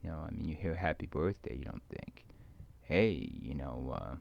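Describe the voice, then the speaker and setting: A man rambling casually in a hesitant, halting, musing way, often trailing off as he thinks aloud. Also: rumbling low, sing-song, vocal fry. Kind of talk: rambling casual monologue, ASMR roleplay. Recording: dull lo-fi close dry recording.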